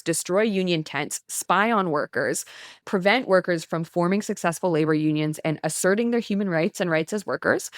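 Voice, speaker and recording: A woman's voice: lowish voice, no echo, clean studio sound. A woman hosting a history podcast, explaining earnestly in casual chat with frequent "like"s. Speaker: podcast host.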